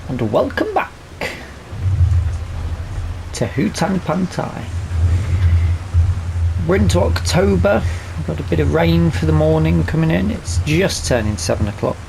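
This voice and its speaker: A man's voice: a little high, sing-song, unhurried, long pauses. A man hosting a gaming video with casual let's-play commentary, rambling and thinking aloud as he plays. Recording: clear background game vehicle noise.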